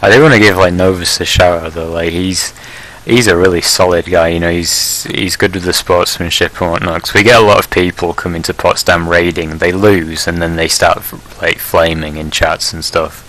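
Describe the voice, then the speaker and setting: A man with a very low voice and a bright, forceful edge to it. He chats casually on a podcast and rambles through his points with plenty of fillers and restarts. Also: fairly even intonation, normal speed, hardly any pauses, poor audio. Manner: laughs at his own blunt jokes. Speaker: leader of a Prussian group in an online game.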